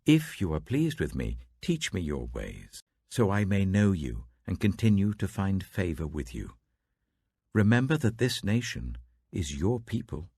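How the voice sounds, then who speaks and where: A troubled British prophet and leader pleads earnestly in a scripture audiobook, played by a male narrator. His voice is deep and husky, swinging expressively as he presses each point. The delivery is emphatic and dramatic. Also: huge pitch swings, measured pace, dark tone, no echo, clean studio sound, heavily acted. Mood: earnest entreaty.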